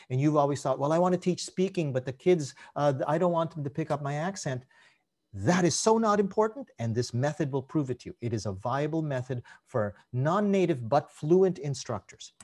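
A man in his fifties from Canada speaks in a conversational, persuasive way to a conference audience. His voice sits fairly high and swings widely in pitch. He is heard through a webcam on a video call. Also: expressive, a little fast, a little mellow. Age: 50s. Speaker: teacher presenting at a conference. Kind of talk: online conference presentation.

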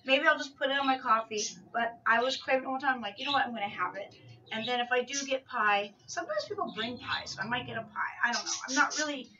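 A bright, higher-voiced middle-aged woman rambles in a chatty way through a grocery haul in a small home kitchen. She often corrects herself mid-sentence. Her voice comes from a few feet off the camera mic and sounds a bit dull and lo-fi. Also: fast talker, slight background noise. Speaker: vlogger. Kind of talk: casual grocery haul vlog. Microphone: camera mic from a few feet away. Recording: distant, dull lo-fi camera audio.

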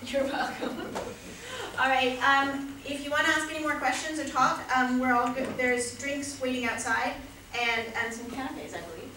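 A young woman speaking casually at an evening event, chatty and informal with a mid-range voice. She stands at a lectern mic in a large panelled room. The sound carries a lot of echo and clear background noise. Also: sits high, normal speed, pushed and bright. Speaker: event host. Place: wood-panelled hall, large indoor room. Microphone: lectern mic, far camera. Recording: echoey hall from far off, noisy.

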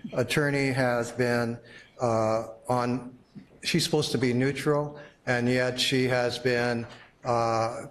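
A middle-aged man giving public comment at a county board meeting, speaking haltingly with many fillers. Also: medium pitch.

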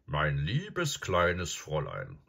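A grave old professor acted out by a man, low and husky, calm and probing. He ponders each point with absent-minded hums, as in a storybook reading. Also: heavily acted. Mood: murmuring, earnest. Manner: deliberate, pondering, with hums and murmurs. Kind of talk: audiobook character acting.

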